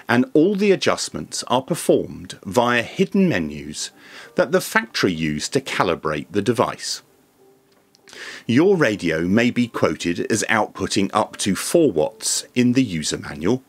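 A man presents a scripted explainer to camera in a measured, formal, methodical way. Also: fairly low pitch. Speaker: video presenter and radio reviewer. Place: small indoor home studio room.

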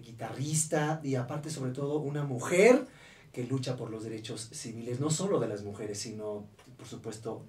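A man's voice: medium pitch, mellow, distant mic. Man hosting radio, roomy and off-mic. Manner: filler-heavy, many "eh" pauses. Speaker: radio show host. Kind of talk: radio interview. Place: radio studio booth. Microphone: studio boom mic, heard from a distance.

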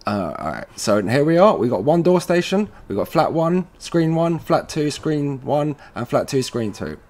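A man presenting an informal software tutorial, casually thinking aloud as he goes step by step. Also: fairly high pitch, sing-song, moderate pace. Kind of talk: informal screen-recorded software walkthrough. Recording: noisy room with keyboard typing.

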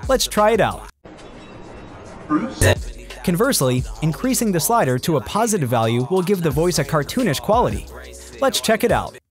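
Guy narrating a tutorial from a script, kinda high voice, music under him. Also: big pitch swings, normal speed, long pauses. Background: background music.

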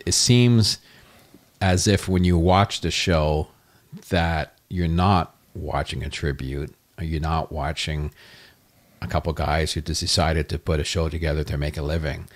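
A male podcast host with a deep, low voice chats casually with his guests. He talks in an upbeat, rambling way, full of fillers and praise. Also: fairly even intonation, unhurried. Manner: enthusiastic, complimentary, rambling. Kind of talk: casual interview chat.

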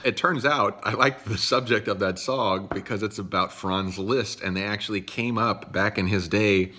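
A man's voice: lowish voice, fairly even intonation, moderate pace, pushed and bright. A man in his thirties chatting casually to a phone camera in his living room, joking as he goes. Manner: chatty, jokey asides. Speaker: channel host. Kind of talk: casual vlog chat. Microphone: phone camera at arm's length.

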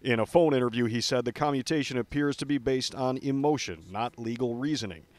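A man's voice: medium pitch, a little fast, a little mellow. Male TV reporter, American, middle-aged, mid-range voice, scripted news delivery.

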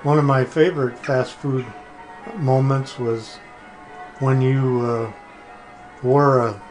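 A man's voice: mid-range voice, fairly even intonation, slow, a little mellow, faint music behind, poor audio. An older man answering interview questions casually, hesitantly searching for his words. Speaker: podcast guest, former religion writer.

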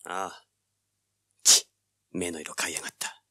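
An actor playing a cocky, hard-boiled young treasure hunter, brash and wry, in a dramatized audiobook. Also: male voice, sits low, little pitch movement, moderate pace, sharp tone, full character voice. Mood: cool, knowing. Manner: brash, wry, rough-spoken. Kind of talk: dramatized audiobook acting with first-person narration.

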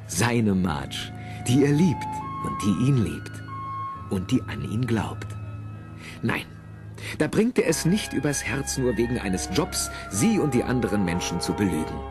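A man's voice: lowish voice, sing-song, a little slow. A man narrating an audio play from a script in the present tense. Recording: music and crowd behind narration. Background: music and crowd.

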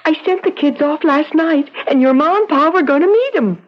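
High-voiced, pleading radio wife. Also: female voice, lively intonation, moderate pace. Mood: anxious pleading. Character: anxious pleading wife of an endangered witness. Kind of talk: 1970s radio drama acting. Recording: dull vintage radio recording.

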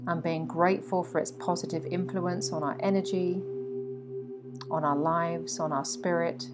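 A woman guiding a meditation in a soothing, instructive way, with frequent pauses between phrases. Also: on the low side, fairly even intonation. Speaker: guided meditation teacher. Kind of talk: guided meditation narration. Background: meditation music with singing bowls.

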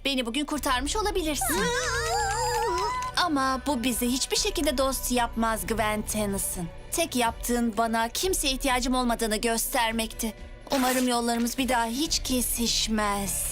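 A woman's voice: a little high, measured pace, soft background music, lightly acted. A woman voicing a touchy, emotionally wounded young villainess with magical powers in a cartoon, dramatic and defensive. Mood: cold, bitter. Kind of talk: animated cartoon dub acting.

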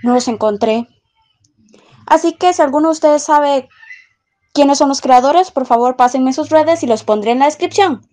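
A woman's voice: high-pitched, moderate pace, warm tone. A woman chatting casually and playfully as she hosts and makes announcements for her channel. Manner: playful, giggly. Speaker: channel host. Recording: music behind the voice. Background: background music.

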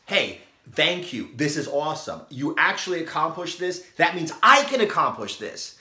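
A man's voice: a little high, pushed and bright, echoey room. A man in his forties giving a blunt, emphatic motivational talk to the camera in a small room. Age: 40s. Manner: emphatic, blunt, repeats "okay?". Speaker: fitness vlogger. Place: small room, plain grey backdrop.

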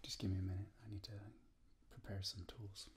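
A man in his thirties doing a whispered ASMR doctor roleplay, breathy and soothing, speaking slowly and reassuringly up close to the microphone. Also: low voice, level tone. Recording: close-up with clear noise and clicking.

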